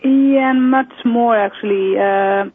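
A woman speaks as a phone-in guest, pausing often to search for her words. Her voice is slightly husky and mid-pitched, and it comes through a dry, tinny phone line. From Iceland. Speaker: guest, member of parliament. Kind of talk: phone-in interview.

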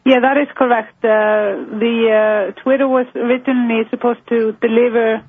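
Halting woman on a phone line. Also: fairly high pitch, level tone, dry recording. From Iceland. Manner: halting, searching for words. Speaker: guest, member of parliament. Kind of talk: phone-in interview.

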